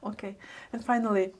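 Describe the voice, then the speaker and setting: A woman's voice: mid-range voice, steady tone, unhurried. A woman from Western Kazakhstan with a Kazakh accent, hosting a podcast interview in English, hesitant with many fillers and friendly laughs. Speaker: podcast host.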